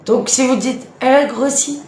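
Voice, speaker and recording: A woman's voice: high voice, moderate pace, darkish tone. Woman, late thirties, Franc-Comtois accent, emphatic, echoey room. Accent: Franc-Comtois French. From Franche-Comté, eastern France. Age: late 30s. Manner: chatty, emphatic, exasperated retorts. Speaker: live stream host, mother of a large family. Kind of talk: casual live stream chat, answering viewer comments. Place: at home. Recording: echoey room, faint background noise.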